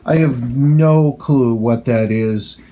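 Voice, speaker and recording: Man, unhurried pace, deliberate and plain-spoken, casual hobbyist product review. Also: mid-range voice, warm tone.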